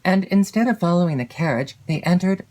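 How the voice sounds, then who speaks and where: A woman reading classic literary fiction as an audiobook narrator, in a measured, formal way with expressive phrasing. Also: fairly low pitch, low-quality recording. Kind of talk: scripted literary narration of a classic gothic novel.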